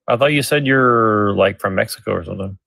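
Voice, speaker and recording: A man's voice: lowish voice, brisk, vocal fry, fairly bright tone, no echo. A man calling into a live stream, questioning earnestly and hesitantly, often repeating his words. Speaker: caller. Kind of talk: earnest argument on a live call.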